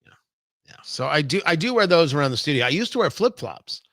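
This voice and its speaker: A man's voice: fairly high pitch, sing-song, fast talker, fairly bright tone, dry recording, studio quality. A man hosting a live talk show, chatting casually with viewers in a playful, rambling way full of asides and mock indignation.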